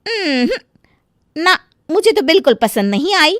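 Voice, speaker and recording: A woman playing a young village-born daughter-in-law in a moral story, sugary and haughty by turns, with theatrical, drawn-out delivery. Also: high-pitched, very animated, a bit bright, no room sound, clean studio sound, heavily acted. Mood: haughty, complaining. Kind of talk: dramatised Hindi moral story, cartoon-like acting.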